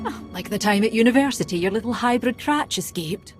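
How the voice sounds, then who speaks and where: An actress playing a self-assured imperial research officer in a science fiction game, formal yet wry and needling. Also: female voice, fairly high pitch, lively intonation, brisk, natural voice. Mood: wry, teasing. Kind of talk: video game cutscene acting. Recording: music behind the voice.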